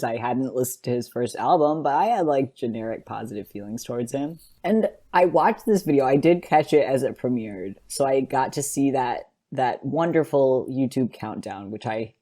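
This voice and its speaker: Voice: medium pitch, warm tone. A podcast host talking casually and conversationally through an analysis, rambling a little as they go. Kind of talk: casual video analysis talk.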